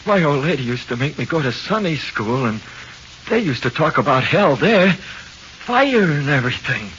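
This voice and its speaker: An actor playing a frightened small-time killer in 1940s radio horror, with nervous, halting, slangy talk. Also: male voice, a little high, big pitch swings, fairly bright tone. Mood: anxious. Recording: muffled hissy 1940s radio recording.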